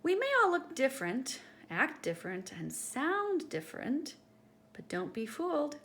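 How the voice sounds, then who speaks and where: Storytime host, female, very lively pitch swings, teacherly read-aloud for young kids, some background noise. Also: unhurried. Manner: animated, teacherly, addressing young listeners directly. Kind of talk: expressive children's picture-book read-aloud.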